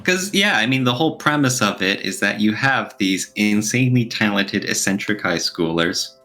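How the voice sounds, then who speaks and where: Bright-voiced, low-pitched man chatting. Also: lively intonation, normal speed, soft background music. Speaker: podcast co-host. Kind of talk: casual podcast discussion.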